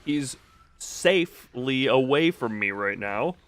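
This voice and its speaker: A man's voice: medium pitch, animated, slow, fairly bright tone. A man giving casual live video game commentary, thinking aloud in a rambling, self-interrupting way with playful, self-deprecating wordplay.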